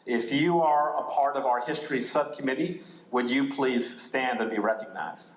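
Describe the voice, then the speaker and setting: Measured man speaking in an echoey hall. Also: medium pitch, slight background noise. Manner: measured, frequent uh pauses. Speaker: subcommittee chair. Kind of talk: formal welcome remarks. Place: church hall with audience.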